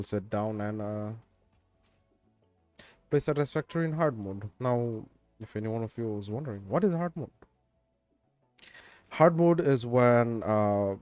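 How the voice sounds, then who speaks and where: A man streaming a game, chatting in a laid-back, rambling way with lots of fillers, his voice a bit raspy. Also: lowish voice, a little mellow, no room sound. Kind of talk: casual game stream chat.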